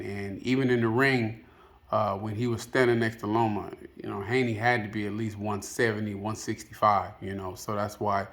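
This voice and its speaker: A man giving casual, unscripted opinion commentary as a video host, rambling and hesitant, often padding with filler phrases. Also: lowish voice. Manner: hesitant, rambling, peppered with "you know".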